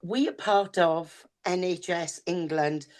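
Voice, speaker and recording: A woman's voice: on the low side, slow pace, a little mellow. A woman with a Welsh accent co-hosting a podcast in a down-to-earth, chatty way, her voice a little worn. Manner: chatty, down-to-earth, speaks straight to listeners. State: voice going hoarse. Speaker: podcast co-host and reader. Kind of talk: casual chat.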